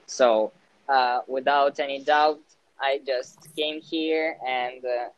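A teenage man with a Russian accent, a student living abroad, speaking English hesitantly with many pauses and fillers. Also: mid-range voice, fairly even intonation, clean tone, dull audio. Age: teens. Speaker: interviewee, exchange student. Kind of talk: casual conversation.

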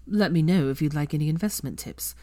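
A woman's voice: low voice, a little fast, mellow, close to an everyday voice. A woman voicing a sardonic young wizard who talks in a dry, snarky way.

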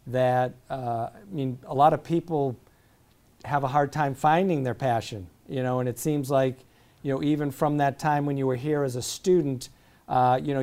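Guy hosting an interview, warm mellow voice, rambly questions. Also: mid-range voice. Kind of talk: casual interview.

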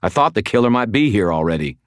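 A man voicing a hot-tempered, sarcastic wizard with a forceful, exasperated manner in an audiobook. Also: deep voice, expressive, normal speed, no echo, clean studio sound, voice pushed up.

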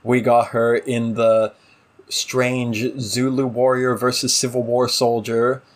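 A man's voice: mid-range voice, flat delivery, slow pace. A man co-hosting a casual discussion show, giving opinionated, exasperated critique in a conversational way. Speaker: podcast co-host.

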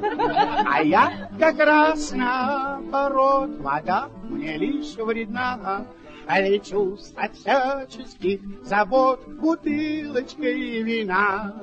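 An actor playing a simple, good-natured peasant squire in a radio play, with a folksy, homely and deferential manner. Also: male voice, extremely high pitch, full character voice. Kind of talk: Soviet radio play acting with comic singing. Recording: muffled old radio recording.

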